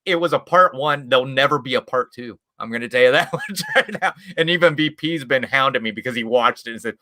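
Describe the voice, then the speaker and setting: Chatty Midwestern man with a bright voice. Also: medium pitch, brisk. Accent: Midwestern American. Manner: rambling, chatty storytelling with frequent restarts and chuckles. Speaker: guest YouTube creator. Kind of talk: casual chat on a live stream.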